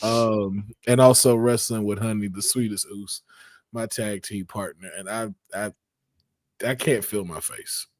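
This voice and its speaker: A man hosting a casual podcast, raspy and hoarse after losing his voice, joking and laughing as he talks. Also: on the low side, fairly even intonation, unhurried, no echo. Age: adult over twenty-two. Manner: playful, joking, laughs often. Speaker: podcast host. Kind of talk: casual chat.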